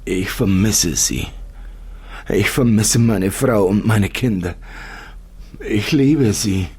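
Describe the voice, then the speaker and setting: A man reading a scripted first-person story as a wistful narrator, in a measured way with dramatic pauses. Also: on the low side, darkish tone, slightly rough, exaggerated voice. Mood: sorrowful. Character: wistful male narrator mourning an imagined life.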